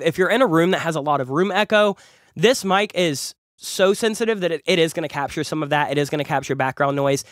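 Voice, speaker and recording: A young man talks quickly and eagerly through a gear review, doubling words when he gets excited. His voice is a little nasal from a cold and sits fairly high for a man. The sound is close and dry, like a treated home studio. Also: dry recording. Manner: enthusiastic, chatty, repeats words for emphasis. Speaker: music producer and YouTube host. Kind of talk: casual gear review talk. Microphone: large studio condenser close up.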